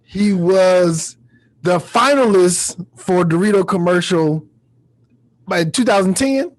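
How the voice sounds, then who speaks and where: A man's voice: high-pitched, slow, low-quality recording. A man hosting a podcast in a casual, laid-back chat, asking questions in loose, familiar phrasing. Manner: laid-back, often tags sentences with "man". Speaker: podcast host.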